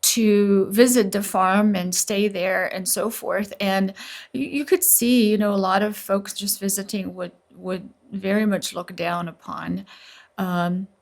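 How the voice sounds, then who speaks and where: A woman's voice: medium pitch, steady tone, measured pace, vocal fry. A middle-aged woman from Slovenia, a neurologist guest telling her story earnestly and at length in an interview.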